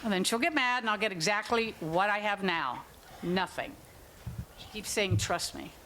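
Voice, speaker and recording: A woman playing a stressed, wry adult daughter, brisk and a little bossy, in a staged reading of a play. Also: medium pitch, lively intonation, fairly bright tone, slight background noise, close to an everyday voice, rough recording. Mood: frustrated. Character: stressed, wry adult daughter minding her troubled brother.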